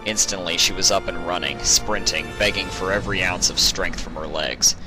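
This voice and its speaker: Male story narrator, low register, measured dramatic delivery, prominent musical backing. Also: music in the background, rough recording. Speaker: audiobook narrator.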